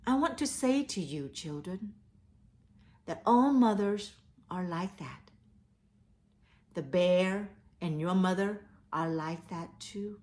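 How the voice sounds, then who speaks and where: A woman reading and talking to children as a storyteller, with deliberate, emphatic phrasing. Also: medium pitch, darkish tone. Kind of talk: children's read-aloud storytelling. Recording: echoey, roomy sound.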